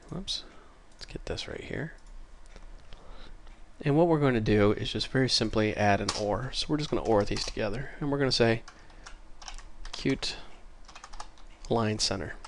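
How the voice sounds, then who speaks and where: A man giving a casual screencast programming tutorial, informal and apologetic as he thinks aloud, sounding a little congested. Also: fairly low pitch. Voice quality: slightly congested, stuffy nose. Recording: clear keyboard and mouse clicking noise. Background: typing and mouse clicks.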